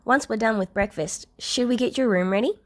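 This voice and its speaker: A woman voicing a bright, friendly, parental grown man in a story, with an upbeat, kindly manner. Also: a little high, fairly quick, pitched above the performer's own voice, lightly acted.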